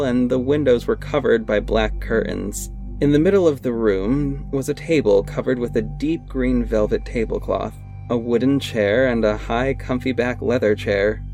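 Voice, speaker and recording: A man's voice: mid-range voice, darkish tone. Guy doing a dry sarcastic PI narrating his own story, audio drama with light music. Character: sardonic young male private investigator and vigilante. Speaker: first-person narrator.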